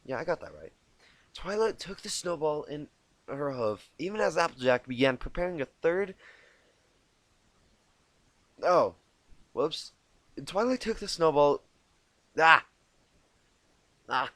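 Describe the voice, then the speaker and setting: Male amateur narrator, self-deprecating and stumbling, lively pitch, dry close sound. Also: slow pace, pauses often, dry recording. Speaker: amateur fan fiction reader. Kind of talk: informal storybook narration with asides. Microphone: cheap home microphone.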